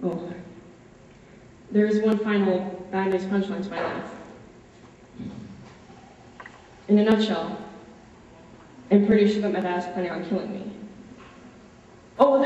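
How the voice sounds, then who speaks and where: A woman performing a dramatic monologue as a wry, wistful fourteen-year-old boy, confiding in a conversational way with dramatic pauses. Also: mid-range voice, warm tone, lightly acted. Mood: subdued, sad. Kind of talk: solo dramatic monologue, direct address. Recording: echoey hall, distant, muffled, faint noise.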